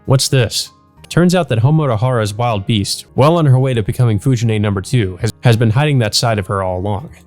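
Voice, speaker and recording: A man's voice: mid-range voice, fairly quick, darkish tone, soft background music, lightly acted. A man voicing an earnest, easygoing, domestic teenage schoolboy from a visual novel, plain and mildly wry.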